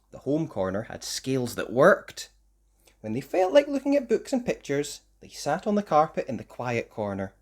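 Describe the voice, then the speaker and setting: Brief: storybook narrator for young children, expressive and animated, with wide melodic swings. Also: medium pitch. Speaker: children's story reader, host.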